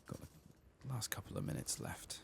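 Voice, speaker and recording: A man giving soft-spoken ASMR commentary while playing a game, murmuring to himself on a close microphone. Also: normal speed, a little hiss or hum. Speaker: gameplay streamer.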